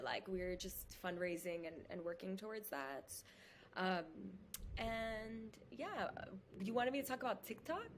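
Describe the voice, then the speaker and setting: A woman at home in headphones, speaking as a guest over a video call. Her voice sits at a middle pitch, and she talks quickly and animatedly in a casual way, with a faint hum of noise behind her. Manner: animated, fast, lots of "like". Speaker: podcast guest. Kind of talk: casual conversation. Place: indoor room at home.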